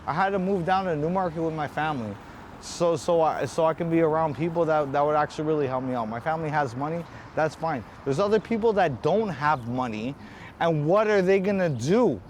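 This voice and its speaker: A man's voice: a little high, a little fast, slight background noise. An agitated man with an Ontario Canadian accent, venting in a repetitive, frustrated way in a street interview. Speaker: painting contractor interviewed on the street.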